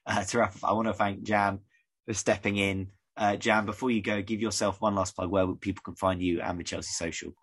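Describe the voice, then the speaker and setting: Croaky fast-talking male podcast host. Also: fairly low pitch, flat delivery, fairly bright tone. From United Kingdom. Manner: rambling, conversational, many fillers. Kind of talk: casual podcast chat.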